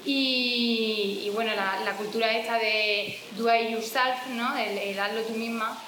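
A woman from Jaén with an Andalusian accent, giving an informal public talk in a halting way, with many restarts. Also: a little high, steady tone, pushed and bright. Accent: Andalusian Spanish. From Jaén, Spain. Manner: hesitant, many restarts and fillers. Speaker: speaker presenting a fanzine. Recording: echoey room with background noise.

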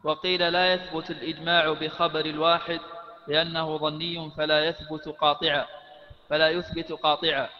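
A man reading a classical text aloud for the teacher in a steady, formal recitation. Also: on the high side, moderate pace. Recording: echoey hall, dull lo-fi sound.

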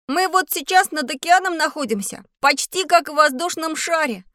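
Young schoolboy, earnest, inquisitive, high-pitched, dry studio sound, audio drama. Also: female voice, normal speed, pushed and bright, dry recording. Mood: eager, curious. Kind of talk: scripted educational audio drama.

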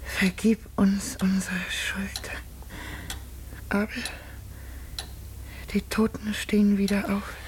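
A woman acting in a German radio play as a feverish, guilt-haunted wife, halting and repeating words, lapsing into whispers. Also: mid-range voice, little pitch movement, darkish tone, slight background noise, lightly acted. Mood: delirious dread.

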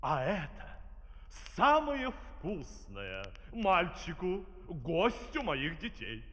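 A pompous official from a 1970s Soviet fairy-tale record, theatrical and ceremonious. His bright voice swoops expressively in a big reverberant space. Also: a little high, huge pitch swings, very slow, full character voice. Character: grand pompous official, scheming father and host. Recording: reverberant old vinyl studio recording.

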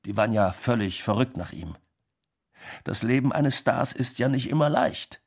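A man's voice: mid-range voice, lively intonation, normal speed, slightly rough. An actor playing a music business man, looking back matter-of-factly in a radio drama. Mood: marveling. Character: music business man marveling at past success. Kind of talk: documentary radio drama monologue.

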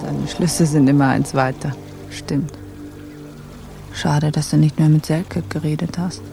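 Casting: attentive pirate crewman, slightly high, gravelly voice, radio play with music behind. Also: steady tone, unhurried. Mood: reflective. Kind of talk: radio drama acting.

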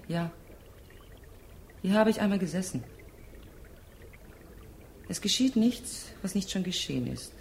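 A woman's voice: lowish voice, darkish tone, slight background noise. An actress in a German radio drama playing a dead woman in her early thirties, resigned and detached, speaking in repetitive, incantatory phrases.

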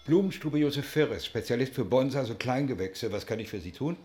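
An actor playing an older male flower shop owner in a radio play, cool and politely brisk. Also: medium pitch, lively intonation, darkish tone, a little hiss or hum, lightly acted. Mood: polite, busy. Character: cool old bonsai florist, politely brisk shopkeeper. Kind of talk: radio play dialogue, on the telephone.

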